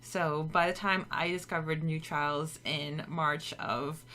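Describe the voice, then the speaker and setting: A woman's voice: low-pitched, fairly even intonation, slow pace, pushed and bright. A woman co-hosting a podcast in casual chat, chatty and laughing often, repeating words as she thinks. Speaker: podcast co-host.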